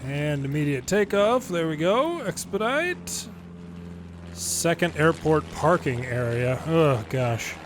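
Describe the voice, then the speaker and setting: Higher-pitched American man over noisy ambience. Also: animated, slow. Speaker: gaming video host, former air traffic controller. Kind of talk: casual gameplay commentary with explanations.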